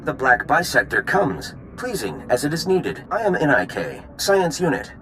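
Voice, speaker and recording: An actor playing a politely pedantic alien science unit in a video game, with formal, oddly stilted delivery. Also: male voice, on the high side, wildly swooping pitch, normal speed, bright, forceful tone, slight background noise, heavily acted. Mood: formal, curious.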